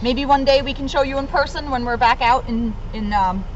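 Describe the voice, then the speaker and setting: A woman police officer reading aloud and explaining things informally for children. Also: brisk. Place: in and around a police car. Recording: muffled, low-grade audio with faint noise.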